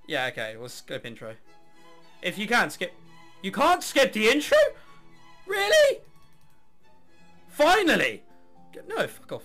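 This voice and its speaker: A man with a fairly high, lively voice plays through a game on mic. He reads the on-screen text and breaks off for sarcastic, sweary asides. Faint music runs behind him. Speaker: let's play host. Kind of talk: let's play commentary, reading game text aloud.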